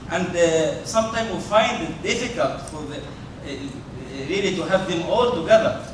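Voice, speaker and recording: This is a man, an imam on an interfaith panel. His voice sits slightly high, and he talks in a halting way with repeated words. He is picked up from a distance in a reverberant hall with noise in the background. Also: expressive. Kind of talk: unscripted panel discussion. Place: large hall. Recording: echoey hall from far off, noisy.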